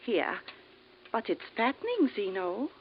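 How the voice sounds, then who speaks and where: A woman's voice: a little high, very animated, unhurried, breathy edge, exaggerated voice. A woman playing a doting, worrying older Martian mother in old-time radio comedy. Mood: fussing. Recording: dull, noisy old radio broadcast.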